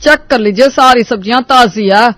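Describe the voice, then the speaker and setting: A man's voice: very high voice, moderate pace, a bit bright, dry recording. A man playing a persistent market vegetable seller who coaxes and wheedles in a story. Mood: placating. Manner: coaxing, calling out wares.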